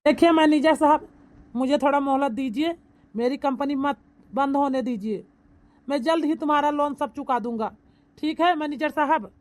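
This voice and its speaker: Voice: sits high, moderate pace, lightly acted. A performer voicing an anxious company boss in a cartoon comedy, speaking in an emphatic, pleading manner.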